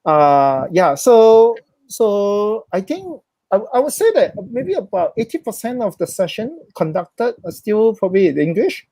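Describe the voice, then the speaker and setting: A man's voice: high voice, big pitch swings, measured pace, dark tone, no room sound. A man whose first language is Chinese, speaking English in a thoughtful, hesitant way with many restarts as an interview guest. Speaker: interview guest, social worker. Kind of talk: reflective conversational interview.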